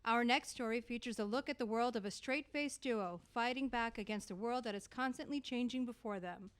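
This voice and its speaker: A woman's voice: a little high, fairly quick, clear, resonant tone. A woman announcer reading a scripted introduction to an audio drama series. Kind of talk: scripted narration.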